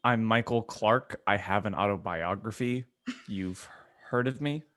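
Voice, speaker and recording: Male podcast host, lowish voice, casual and irreverent, trading jokes with co-hosts. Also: measured pace, fairly bright tone. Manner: irreverent, joking. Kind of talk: casual bantering group chat.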